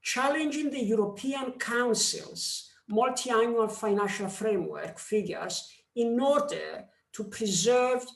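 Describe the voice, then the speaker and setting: Man, Greek accent, formal seminar speech, slow and deliberate, middle pitch, roomy echoey sound. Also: vocal fry. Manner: slow, measured delivery with pauses. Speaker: member of parliament giving a talk.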